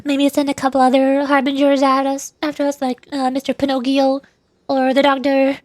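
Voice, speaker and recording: A woman's voice: high voice, completely flat delivery, normal speed, darkish tone, no echo. A woman VTuber streaming a game, giving casual commentary with rambling, playful asides.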